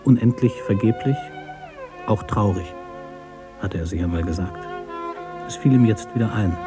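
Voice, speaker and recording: A man narrating a literary story for radio in a measured, deliberate way. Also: fairly low pitch, music in the background.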